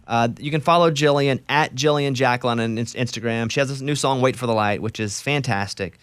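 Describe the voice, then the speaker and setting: A man's voice: mid-range voice, fairly quick, a bit bright, smooth, no room sound. Chatty male radio host, dry close mic. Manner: chatty, enthusiastic, trails into restarts. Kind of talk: casual interview chat.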